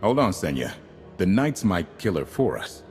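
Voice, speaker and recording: A deep male voice of a gruff, cynical soldier, with faint music behind. He is terse and cutting, in dramatic game style. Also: very animated, normal speed. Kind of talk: dramatic video game voice acting.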